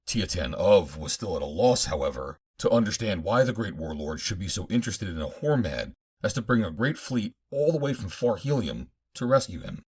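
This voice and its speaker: A man's voice: low voice, big pitch swings, normal speed, hardly any pauses, darkish tone, breathy edge. A man narrating a pulp adventure audiobook in the first person, in dramatic fashion.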